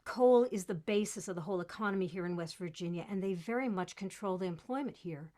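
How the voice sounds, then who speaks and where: An actress playing an earnest, folksy West Virginia coal miner's granddaughter turned activist in a stage monologue. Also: female voice, fairly low pitch, fast talker, mellow, natural voice. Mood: concerned, earnest. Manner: plain-spoken, folksy. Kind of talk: theatrical storytelling monologue.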